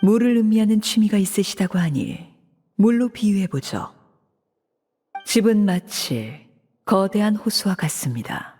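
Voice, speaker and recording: A woman voicing a cold, composed female leader in a video game, speaking in a measured, formal, controlled way. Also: mid-range voice, lively intonation, mellow, breathy edge, faint music behind. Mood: cool. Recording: reverberant game audio.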